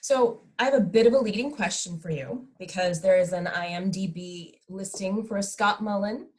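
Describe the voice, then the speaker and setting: A woman's voice: mid-range voice, normal speed, darkish tone. A woman hosting an online question-and-answer discussion as its moderator. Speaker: moderator of a theatre talkback. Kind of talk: hosted panel discussion over video call.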